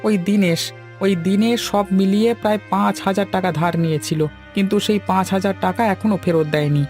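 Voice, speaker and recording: A man's voice: high-pitched, fairly even intonation, quick pace, darkish tone, soft background music. A man voicing a weary, worried middle-aged shopkeeper father in a cartoon, in a plaintive, fretful way. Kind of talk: cartoon dialogue.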